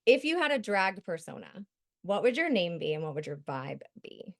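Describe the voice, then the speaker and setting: Upbeat woman host asking quick questions. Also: lowish voice, big pitch swings. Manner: upbeat, eager, laughs often. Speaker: interview host. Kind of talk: rapid-fire interview questions.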